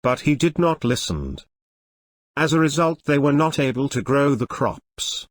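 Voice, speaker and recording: A man's voice: medium pitch, animated, measured pace, no echo. A man narrating a scripted moral story for a cartoon. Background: faint music.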